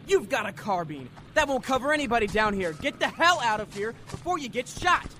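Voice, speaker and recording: A man acting as a brash young American soldier in a war radio drama. His voice is very high and swings widely, projected with urgency. Faint noise and outdoor ambience sit behind him. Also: very animated, fairly quick. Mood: urgent, commanding.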